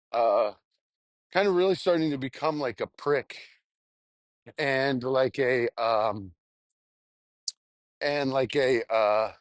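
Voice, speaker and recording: A young adult man, a stand-up comedian, rambling casually and candidly in a halting, self-reflective way with some casual swearing. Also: mid-range voice. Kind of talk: casual rambling monologue, audio journal.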